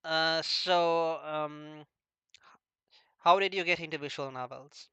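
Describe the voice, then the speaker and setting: Halting man interviewer, crisp and dry. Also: a little high, bright, forceful tone, no echo, dull audio. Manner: halting, stammering, unsure. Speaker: interviewer, host. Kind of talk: casual, unscripted interview.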